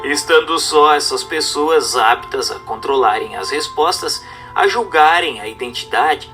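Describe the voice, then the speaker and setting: A man's voice: mid-range voice, pushed and bright. A man with a Brazilian accent reading a book aloud as scripted narration, in a formal, didactic manner. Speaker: audiobook narrator.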